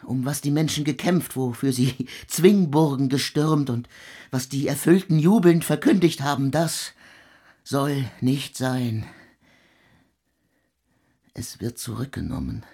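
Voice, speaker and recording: Anguished composer, husky, slow delivery, bitter, archaic, declamatory, radio drama. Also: male voice, medium pitch, warm tone. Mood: bleak, bitter. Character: anguished, embittered male composer, cursing and self-accusing in grief. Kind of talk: literary radio drama acting.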